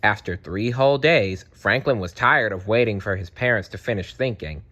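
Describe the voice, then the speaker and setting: A man narrating a children's storybook aloud in an expressive, deliberate way. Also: fairly low pitch.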